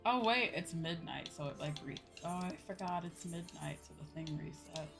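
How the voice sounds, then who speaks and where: A woman's voice: fairly low pitch, normal speed, creaky. A woman chatting casually and expressively as she hosts a live gaming stream, rambling conversationally with viewers. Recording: music playing behind the voice. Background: music.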